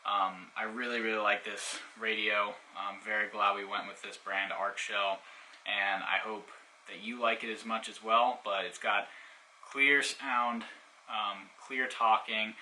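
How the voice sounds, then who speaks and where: A man with a very bright, cutting voice on the lower side walks through a product in a casual, hands-on demonstration. He explains things informally, step by step. Some background noise sits behind him. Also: low-quality recording. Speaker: product reviewer.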